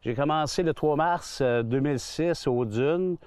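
A man's voice: a little high, measured pace, a little mellow, clean tone, dry recording. A man in his sixties with a Québécois French accent, a golf club manager chatting in an outdoor interview, rambling with many restarts and fillers. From Quebec. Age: 60s. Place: outdoors on a golf course under trees.

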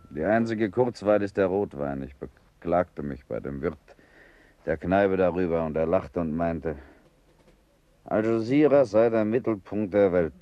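A man's voice: a bass voice, warm tone, dull audio. An actor playing an embittered, world-weary sick sailor in a German radio play, sardonic and brooding as he talks.

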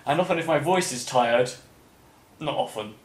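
A man's voice: medium pitch, normal speed. Man podcast host in an echoey room. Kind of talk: casual interview chat. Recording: roomy, echoing room.

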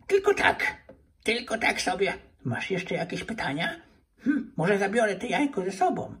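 A man's voice: high voice, sing-song, a little slow, a bit bright, distant mic, pitched above the performer's own voice, exaggerated voice. A man voicing a sly, grumpy fairy lady in a children's toy puppet story, coy and prickly.

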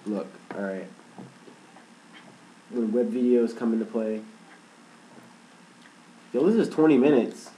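A man's voice: medium pitch, slow pace, long pauses, dark tone. A young man in casual joking banter, goofy and playful, filmed on a webcam in a small bare room. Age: young adult. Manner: goofy, playful, breaks into laughs and yelps. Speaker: co-host. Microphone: laptop webcam across the table. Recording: echoey room with background hiss.

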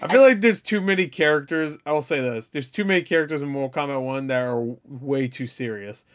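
A man giving casual, profane reaction commentary with joking, deliberately dim asides. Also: a little high. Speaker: reaction video host. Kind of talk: casual, off-the-cuff reaction commentary on game clips. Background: video game dialogue and music.

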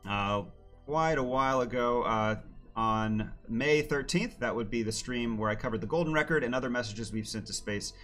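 Man in his thirties, slightly hoarse, chatty livestream host, many fillers, faint music underneath. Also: medium pitch, a bit bright. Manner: friendly, filler-heavy with many "uh"s. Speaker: planetarium educator hosting a livestream. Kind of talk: casual live-stream talk.

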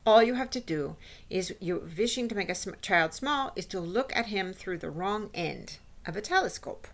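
Lady doing a nasty bossy storybook witch boss, scornful and scolding, creaky edge. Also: medium pitch, lively intonation, moderate pace. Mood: commanding, gloating. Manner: imperious, scolding, odd foreign-style grammar. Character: bossy, scornful witch leader, woman, shouting orders.

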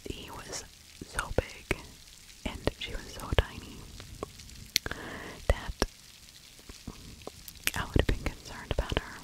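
A middle-aged woman whispering true crime ASMR close to the microphone, breathy, with opinionated conversational asides. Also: slow pace, long pauses, low-quality recording. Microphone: close microphone with furry windscreen.

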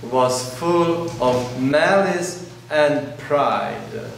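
A man in his forties, a priest whose first language is Russian, preaching a sermon in slow, pausing phrases, recorded indoors in a large church by a camera at a distance. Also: mid-range voice, expressive, slight background noise. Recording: very echoey church, distant mic.

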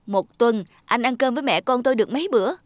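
A woman's voice: fairly high pitch, fast, no room sound, natural voice. A woman playing an aggrieved, emotional wife and mother in a cartoon drama. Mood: bitter, arguing.

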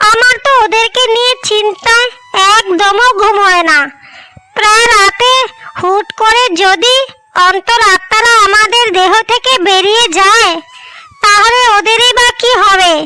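A woman's voice: very high voice, bright, forceful tone, full character voice. A woman voicing an anxious, plaintive ageing village mother in a cartoon. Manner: plaintive, worrying. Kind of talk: cartoon dialogue.

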